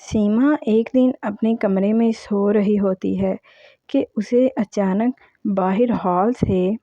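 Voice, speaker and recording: A woman's voice: medium pitch, fairly even intonation, slow, no room sound, highs cut off. A woman narrating a scripted horror story for a cartoon. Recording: loud music behind the voice. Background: loud music.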